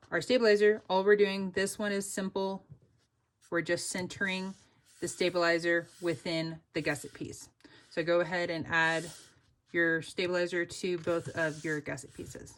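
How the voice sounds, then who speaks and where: Chatty woman giving a sewing tutorial. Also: on the low side. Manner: chatty, upbeat, many fillers like "like" and "oop". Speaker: sewing pattern designer teaching a tutorial. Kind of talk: casual step-by-step sewing tutorial.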